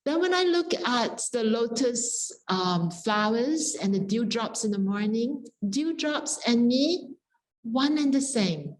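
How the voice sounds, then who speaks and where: Very slow, contemplative woman. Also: on the high side, a little mellow, rough recording. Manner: contemplative, measured, earnest. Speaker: panelist, scholar. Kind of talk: reflective, poetic panel remarks.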